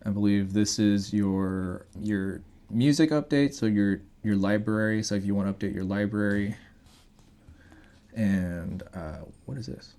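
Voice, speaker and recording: A man's voice: on the low side, steady tone, unhurried, mellow. A man chatting casually as he co-hosts a gadget review, explaining things informally. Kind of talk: casual product review chat.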